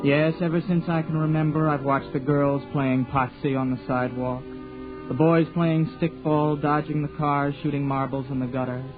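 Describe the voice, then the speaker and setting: A man's voice: fairly high pitch, flat delivery, narrow, tinny audio, over music, lightly acted. An actor in his twenties playing a lonely young man confined to a chair, narrating in a wistful way in a 1940s radio drama. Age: 20s. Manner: wistful, halting with his mother. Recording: phone-thin 1940s radio broadcast.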